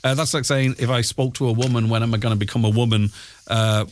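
A man's voice: medium pitch, steady tone, fast talker, a little hiss or hum. A man hosting a local radio phone-in show, talking casually with fillers and restarts. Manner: conversational, with frequent fillers and restarts. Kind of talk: radio phone-in talk, reading listener messages. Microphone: studio microphone.

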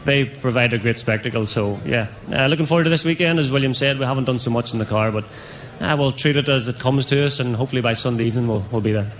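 A man's voice: medium pitch, fast talker. A man, a rally co-driver interviewed on stage at a rally event, joking and laughing easily.

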